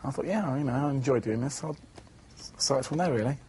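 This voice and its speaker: A man's voice: medium pitch, a little fast, dark tone, slight background noise. A young man in an interview, talking casually with giggles and hesitations. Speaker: amateur stripper interviewee.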